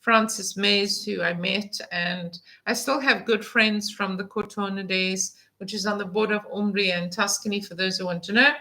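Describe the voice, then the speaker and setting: A woman's voice: mid-range voice, fairly even intonation, normal speed, hardly any pauses, pushed and bright, poor audio. A woman in her fifties hosting a casual live vlog, chatting in a rambling way with many fillers and restarts. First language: English. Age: 50s. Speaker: vlog host. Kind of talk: casual live-stream chat.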